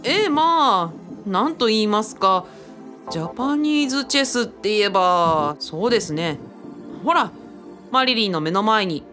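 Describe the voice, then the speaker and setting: A woman's voice: mid-range voice, animated, unhurried, close to an everyday voice. A woman playing a friendly, easily flustered young man in a radio drama, muttering and exclaiming with a Kyushu Japanese accent. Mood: surprised. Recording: music behind the voice. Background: music.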